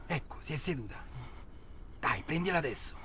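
A cold-blooded man who runs hunts, a forceful, sharp voice. He gives terse, impatient orders in touches, in a naturalistic radio drama style. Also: on the high side, expressive, a little fast, slight background noise. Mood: terse, urging. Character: hard, bossy, cold-blooded male safari organizer.

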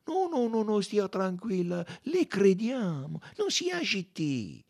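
A man voicing a shrewd, courteous detective from a novel, self-assured and theatrical, with emphatic, deliberate delivery. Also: sits high, very animated, a little mellow, voice pushed up. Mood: soothing.

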